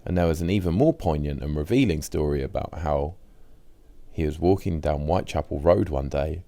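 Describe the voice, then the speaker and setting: A man's voice: very deep voice, moderate pace, mellow, dry recording. A man reading a first-person diary aloud as scripted audiobook narration.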